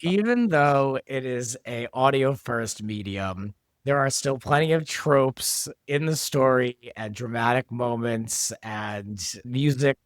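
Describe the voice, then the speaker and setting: A man's voice: mid-range voice, slow, no room sound. Reflective man in a dry, close recording. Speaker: podcast guest, audio drama writer and sound designer. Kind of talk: behind-the-scenes interview chat.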